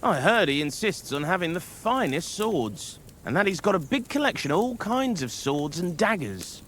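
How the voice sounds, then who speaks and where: A man's voice: high voice, very animated, moderate pace, fairly bright tone, slight background noise. A man playing a needling, inquisitive man-at-arms in a medieval video game. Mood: curious.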